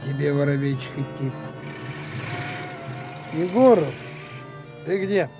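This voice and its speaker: Gruff, avuncular veteran policeman, gravelly and unhurried, Soviet radio play, faint music underneath. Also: on the high side. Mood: hearty. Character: gruff, kindly senior police detective mentoring young trainees. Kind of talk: Soviet radio drama acting.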